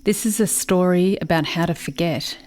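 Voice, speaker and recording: A woman's voice: fairly low pitch, moderate pace, a little mellow, natural voice. An actress playing a mournful settler woman in poetic radio-play narration of family loss. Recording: faint ambient noise behind.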